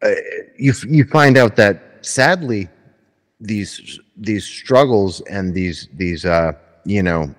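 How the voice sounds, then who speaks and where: Deep-voiced musician guest, reflective. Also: male voice, lively intonation, slow pace, in a large hall. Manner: reflective, stammering repeats. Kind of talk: casual conversation.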